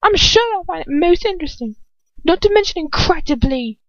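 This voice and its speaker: A woman's voice: very high voice, expressive, brisk, no echo, dull audio, pitched above the performer's own voice. A woman voicing a spiteful, taunting villainess witch in an audiobook-style reading, mocking and snapping. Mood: threatening.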